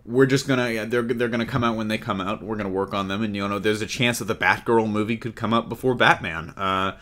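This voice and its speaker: A man's voice: fairly low pitch, steady tone, fast, fairly bright tone. A man hosting a casual podcast discussion, talking in a rambling way and rephrasing his questions as he goes. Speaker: podcast host.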